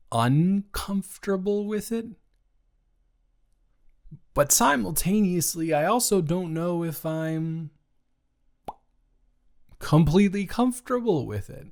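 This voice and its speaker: A young man playing a nervous, joking roommate and close friend in an improvised audio roleplay, stammering and rambling hesitantly. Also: on the high side. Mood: nervous, unsure.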